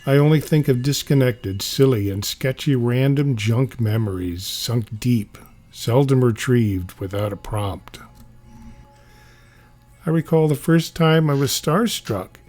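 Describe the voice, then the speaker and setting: A man's voice: medium pitch, unhurried, a little mellow, faint music behind. A man giving a scripted narration, reading a memoir-style comic aloud.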